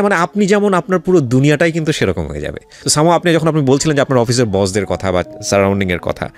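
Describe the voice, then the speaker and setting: A man with a Bangladeshi accent hosting a radio interview, respectful and effusive, repeating phrases for emphasis. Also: on the low side. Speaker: radio show host, interviewer. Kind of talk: radio interview hosting, addressing guest and listeners. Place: radio studio. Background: music behind the voice.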